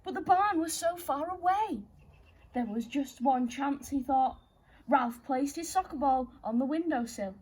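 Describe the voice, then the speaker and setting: Female children's storytime host, high-pitched, theatrical and playful storyteller. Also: unhurried, darkish tone, poor audio. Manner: playful, theatrical, addresses young listeners directly. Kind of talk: animated children's story reading.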